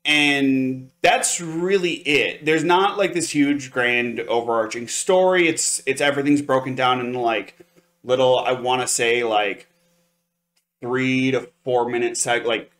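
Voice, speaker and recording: A man's voice: mid-range voice, unhurried, fairly bright tone. Casual male anime reviewer. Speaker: podcast co-host reviewing anime.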